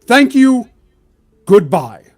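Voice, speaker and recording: A man playing a formal fantasy official who makes loud, measured, ceremonial announcements in a tabletop game. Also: very high-pitched, big pitch swings, faint music behind, voice pushed up, heavily acted. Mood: formal, solemn.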